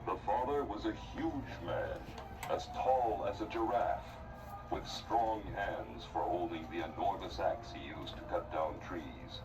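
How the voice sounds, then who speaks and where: A man's voice: sits low, measured pace, music in the background. A man narrating a fairy tale for a stage play in a theatrical storybook style. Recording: very noisy, distant stage recording.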